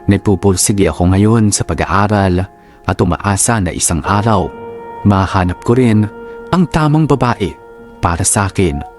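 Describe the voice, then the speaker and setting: Jilted student, low deep voice, reflective storytelling, radio drama narration, clear music bed behind. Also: male voice, animated, unhurried. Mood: reflective, accepting. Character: jilted young male student recounting his heartbreak.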